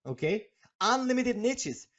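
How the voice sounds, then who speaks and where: A man's voice: very high-pitched, sing-song, unhurried, a bit bright, lo-fi sound. A man for whom English is a second language, hosting a live sales webinar in a chatty, persuasive way, full of fillers and "okay?" checks. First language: not English. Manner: chatty and persuasive, reads names from chat, many fillers and tag questions. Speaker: webinar host selling software.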